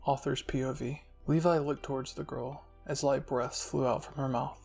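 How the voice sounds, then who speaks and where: A man narrating a scripted fan fiction story in a soft ASMR style as a channel host, with music behind him. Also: medium pitch, little pitch movement, moderate pace, gravelly.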